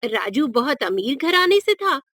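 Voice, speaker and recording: A woman's voice: high-pitched, big pitch swings, measured pace, fairly bright tone, no room sound, studio quality. A woman narrating a scripted moral story for children.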